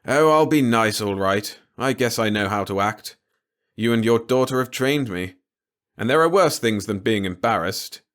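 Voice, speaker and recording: A man's voice: lowish voice, expressive, moderate pace, a bit bright. A man voicing a grumpy, pompous, disapproving middle-aged father in a storybook reading. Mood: grumbling. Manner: blustering, pompous.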